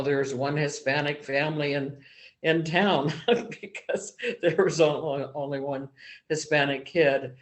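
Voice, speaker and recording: A man talks through his thoughts in a casual book discussion group, slow and hesitant, with fillers and restarts. His voice sits a little high for a man, and his pace is unhurried and drawn out. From small-town background. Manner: slow, halting, many pauses and fillers.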